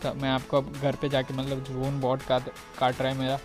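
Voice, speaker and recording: A man's voice: medium pitch, completely flat delivery, fairly quick, over loud music. A man with an Indian accent giving casual, informal commentary on a video game, talking directly to his viewers. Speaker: gaming video creator.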